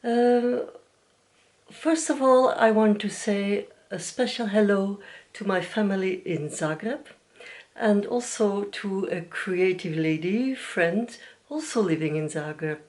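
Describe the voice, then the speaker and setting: Soft-spoken woman, slow and hesitant. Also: medium pitch. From Belgium. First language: Dutch. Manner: gentle, hesitant, many fillers and small restarts, a little nervous. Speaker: knitting podcast host. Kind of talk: casual video podcast chat. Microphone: camera mic.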